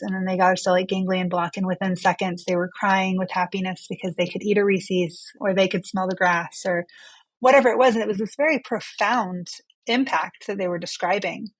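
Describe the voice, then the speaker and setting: Woman doctor chatting in an interview, middle pitch, no room sound. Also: no room sound, low-quality recording. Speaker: physician, interview guest. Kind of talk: conversational explanation in an interview.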